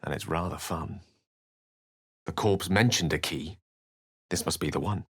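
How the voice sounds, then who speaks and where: A man's voice: sits low, a little slow, breathy edge, no room sound, studio quality. A man voicing an eloquent, bookish, theatrical wizard in a video game, speaking with refined and wry wording. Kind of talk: video game character dialogue and narration.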